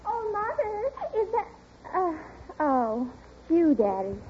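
A woman's voice: very high-pitched, huge pitch swings, slow pace, heavily acted. An actress playing a stagestruck, earnest American teenage daughter in a 1940s radio sitcom, girlish and easily flustered. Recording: tinny, faintly noisy old radio recording.

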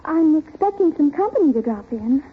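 Very high-pitched, dramatic teenage girl. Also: sing-song, moderate pace. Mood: earnest, pleading. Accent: American. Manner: earnest, girlish, flustered. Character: stagestruck, dramatic teenage daughter, pleading and girlish. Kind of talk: 1940s radio sitcom acting. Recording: tinny, faintly noisy old radio recording.

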